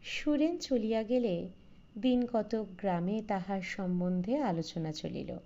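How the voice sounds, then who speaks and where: A woman reading a literary story as scripted audiobook narration, in a measured, expressive way. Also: mid-range voice. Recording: slightly dull, lo-fi recording.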